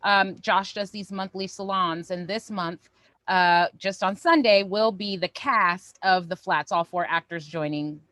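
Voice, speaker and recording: A woman's voice: on the low side, fairly even intonation, unhurried, a bit bright, no room sound. A woman hosting a show, making casual announcements and introductions. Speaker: show host.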